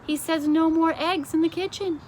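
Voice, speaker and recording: A woman reader plays a deferential storybook butler. He is apologetic and confidential, pitched up, with faint noise in the recording. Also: steady tone, moderate pace, darkish tone. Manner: apologetic, whispered asides. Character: deferential, hushed male royal servant.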